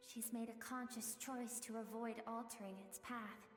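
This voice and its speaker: A woman voicing a gentle female guide spirit in a game, talking in a soft, measured, storytelling way. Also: fairly high pitch, steady tone, close to an everyday voice. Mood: calm. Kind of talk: game voice acting. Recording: roomy reverb with game music.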